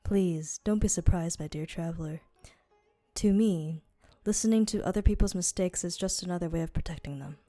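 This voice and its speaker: A woman voicing a devout, courteous desert guardian in an amateur game dub, speaking in a formal, measured, advising manner. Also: fairly low pitch, dark tone, faint music behind, close to an everyday voice. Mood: gently admonishing.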